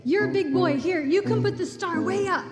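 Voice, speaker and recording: Loud music behind, female actor as cheerful caring mother, high-pitched, soothing, encouraging, echoey radio play. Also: moderate pace. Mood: busy, concerned. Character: caring, cheerful wife and mother, patient, concerned. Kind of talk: live radio play acting.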